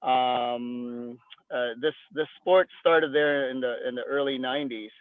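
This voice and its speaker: A man's voice: medium pitch, steady tone, measured pace, clean tone, no echo. A man in his fifties from the Philippines, a jet ski racer giving an interview, talking loosely with many fillers and restarts. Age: 50s. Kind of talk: interview, casual talk. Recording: tinny phone-like line.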